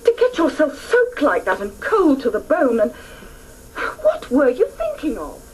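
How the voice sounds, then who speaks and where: A woman's voice: sits high, huge pitch swings, unhurried, exaggerated voice. A woman playing an exasperated mother scolding her child in a radio drama. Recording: faintly noisy old radio broadcast.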